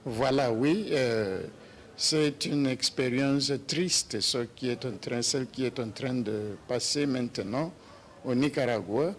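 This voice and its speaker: A man in his sixties from Nigeria, a papal nuncio, answering interview questions slowly with many hesitations and restarts. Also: medium pitch, slight background noise. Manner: slow and hesitant with many "euh" fillers and restarts. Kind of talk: slow, halting interview answers.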